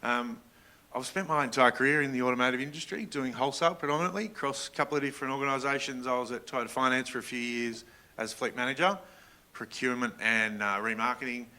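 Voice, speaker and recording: A man's voice: mid-range voice, fast talker, bright, forceful tone. A middle-aged man giving an informal business workshop presentation, engaging directly with his audience. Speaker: workshop presenter, general manager.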